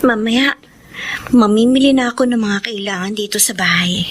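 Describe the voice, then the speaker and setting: An actress playing a betrayed, long-suffering wife in a Filipino radio drama, with a plaintive, pleading manner and Filipino accent. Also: female voice, mid-range voice, moderate pace, slight background noise, natural voice. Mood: weary.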